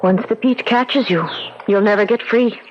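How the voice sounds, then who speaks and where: A woman's voice: medium pitch, normal speed, natural voice. Casting: woman as young Irish sweetheart, passionate and fatalistic, dull radio recording with birdsong and noise behind. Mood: cautioning. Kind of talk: romantic radio drama acting.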